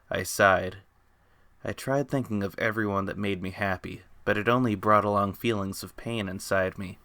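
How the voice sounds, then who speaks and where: Low, bright-voiced man narrating a story. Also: no room sound. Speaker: author reading own story. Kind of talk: dramatic fan fiction narration.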